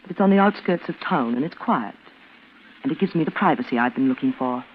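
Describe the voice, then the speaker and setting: An actress playing a cold, bitter, reclusive twin sister with a clipped, severe manner in an old-time radio suspense drama. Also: female voice, rumbling low, lively intonation, fast. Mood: aloof, resolute. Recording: noisy, tinny vintage radio broadcast.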